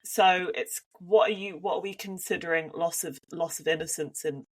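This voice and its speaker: A woman's voice: on the low side, fairly even intonation, moderate pace. A woman from Devon with a Devon accent, co-hosting a casual podcast and thinking aloud with frequent restarts. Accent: Devon English. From Devon, England. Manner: thoughtful, hedging with many restarts. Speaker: podcast co-host.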